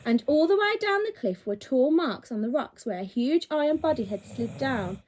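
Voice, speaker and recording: A woman's voice: high-pitched, animated, moderate pace, darkish tone. A woman reading a children's story aloud like a teacher to her pupils, expressively and with dramatic emphasis on key words.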